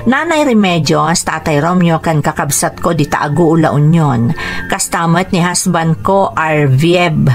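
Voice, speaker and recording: A woman's voice: low voice, expressive, a little slow. A woman hosting a radio drama, reading a scripted introduction and listener greetings. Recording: background music under the voice.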